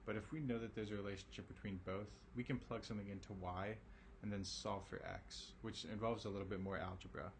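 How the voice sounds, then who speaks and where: A man teaching a recorded algebra lesson, his voice raspy and tired from a late hour, talking casually with lots of ums and okays. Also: on the low side, steady tone, a little fast, hardly any pauses, a little hiss or hum. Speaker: math teacher.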